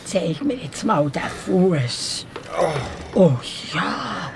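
A woman's voice: lowish voice, expressive, extremely slow, crowd noise. A woman playing a small, fit old mountain woman with a Swiss accent, mothering, eerily cheerful and fussing with little interjections in a radio play. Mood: fussing, playful. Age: older.

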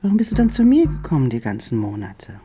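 An actress in a German radio crime drama playing a middle-aged detective, calm, caring and quietly insistent. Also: female voice, a bass voice, quick pace, a little hiss or hum, full character voice. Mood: calm, wistful.

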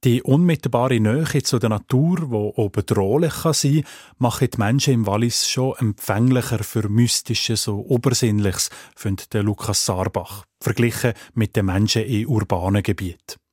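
A man's voice: on the low side, moderate pace, darkish tone, breathy edge, no echo, studio quality. A man with a Swiss German accent reading scripted narration as a radio reporter.

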